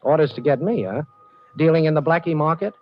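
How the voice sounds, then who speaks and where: An actor playing a wry, cool-headed amateur sleuth on the run in a 1940s radio drama. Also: male voice, fairly high pitch, animated, brisk. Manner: wry, wisecracking. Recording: muffled old radio recording.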